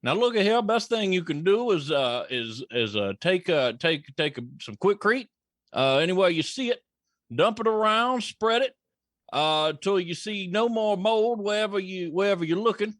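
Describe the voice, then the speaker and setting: Folksy blue-collar contractor played by a man, higher-pitched, halting and stubborn, comic sketch, dry recording. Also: expressive, a bit bright, no room sound. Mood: folksy, defensive. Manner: rambling, halting, homespun. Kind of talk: comic mock news interview.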